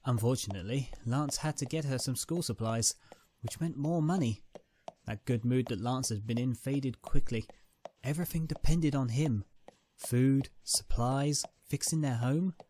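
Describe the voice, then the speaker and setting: Medium-pitched man narrating a dramatic story. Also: a little slow, mellow, a little hiss or hum. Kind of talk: scripted dramatic fan fiction narration.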